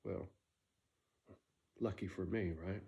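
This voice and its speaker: A man's voice: low voice, fairly even intonation, slow pace, creaky, background noise. A middle-aged man casually telling a story from his own life, blunt and profane, often tagging "right?" onto his sentences.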